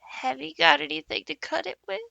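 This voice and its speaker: A woman voicing a high, tearful young girl. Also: brisk, gravelly, sharp tone, dry recording, muffled recording, heavily acted. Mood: practical. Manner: high, sobbing and pleading. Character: tearful, devoted young girl best friend.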